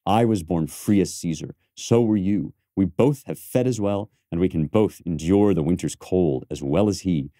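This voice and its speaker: A man's voice: low voice, normal speed, few pauses, dark tone, no echo, clean studio sound, lightly acted. A man playing a proud, resentful Roman conspirator in emphatic, rhetorical Shakespearean verse. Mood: proud, earnest. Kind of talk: dramatic Shakespearean verse recitation.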